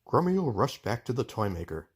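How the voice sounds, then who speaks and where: A man reads a children's storybook aloud as its narrator. His voice sits at a middle pitch and moves with very lively intonation. The delivery is expressive, scripted storytelling. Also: normal speed, slightly rough. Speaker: storyteller reading a children's book.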